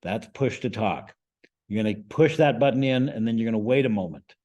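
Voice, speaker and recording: A man with an American accent and a fairly low voice presents a lesson to a group. He talks conversationally, posing questions to his listeners and checking in as he explains things step by step. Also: lively intonation, a little fast, darkish tone, highs cut off. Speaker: presenter, amateur radio instructor. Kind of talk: informal instructional presentation to a group.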